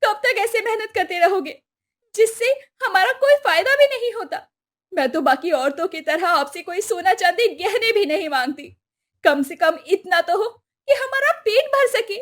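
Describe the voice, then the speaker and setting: A woman's voice: very high voice, animated, moderate pace, a bit bright. A woman voicing a poor, fed-up wife in a cartoon story, complaining and scolding plaintively. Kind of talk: Hindi cartoon story voice acting.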